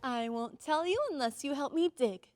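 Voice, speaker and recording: A woman's voice: high-pitched, normal speed, darkish tone, no room sound, clean studio sound, close to an everyday voice. A woman playing an earnest, insistent ten-year-old girl in an old-time radio drama, urgent and pleading. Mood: determined, narrating.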